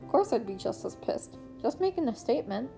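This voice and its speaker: A woman's voice: a little high, very animated, fairly quick, gravelly, dark tone, soft background music. A woman voicing a laid-back, blunt male hero in a story, with a folksy way of talking. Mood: placating.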